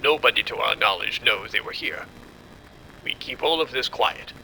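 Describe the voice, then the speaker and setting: A man acting as a cold, authoritative scientist in a horror audio drama. His gravelly, very forceful voice is grave and deliberate, with a faint low rumble behind it. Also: animated, heavily acted, rough recording. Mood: controlled, cold. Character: cold, commanding male doctor covering up a disaster. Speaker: lead scientist.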